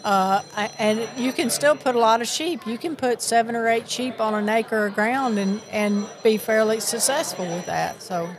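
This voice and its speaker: An older woman with a Southern accent talks in a somewhat high voice, warm in her chatter and quick to restart her sentences. She speaks earnestly in a live interview recorded at a convention. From Miami, Florida. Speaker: sheep producers association president. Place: busy convention hall.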